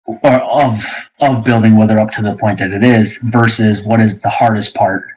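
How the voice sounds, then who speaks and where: Husky, low-voiced male interviewer. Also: steady tone, moderate pace, a little mellow, rough recording. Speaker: podcast host, interviewer. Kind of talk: casual interview chat.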